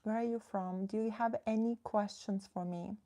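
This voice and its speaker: A woman's voice: mid-range voice, fairly even intonation, normal speed, warm tone. A woman from the Netherlands, a relationship coach, chatting casually on a livestream, reading viewers' comments and giving direct advice.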